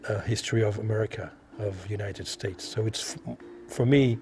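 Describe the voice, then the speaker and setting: Low, mellow Frenchman speaking haltingly. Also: fairly even intonation, a little hiss or hum. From France. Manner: halting, searching for words, repeats himself. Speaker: visual artist being interviewed. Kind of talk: conversational interview answers.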